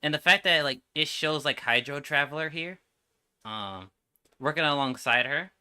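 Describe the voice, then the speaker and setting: A man's voice: fairly high pitch, normal speed, sharp tone, clear, resonant tone. A man giving casual, slangy and enthusiastic livestream commentary as he reacts to game trailers.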